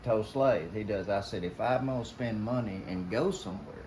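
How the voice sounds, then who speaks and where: A man's voice: on the low side, moderate pace. Older Southern US man, echoey noisy room. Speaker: guest, veteran hunter telling stories. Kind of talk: casual storytelling chat. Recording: roomy echo with clear background noise.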